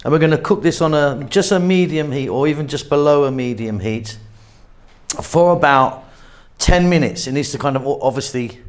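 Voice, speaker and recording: A man hosting a cooking channel, talking casually through a recipe demonstration in a kitchen with a relaxed, chatty manner. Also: fairly high pitch, moderate pace. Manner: chatty, relaxed, thinks aloud with fillers.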